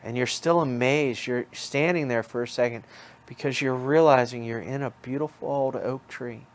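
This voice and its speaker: A man's voice: medium pitch, normal speed. A man telling a guided relaxation story, repeating phrases in a soothing, hypnotic manner. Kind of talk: guided hypnosis imagery narration.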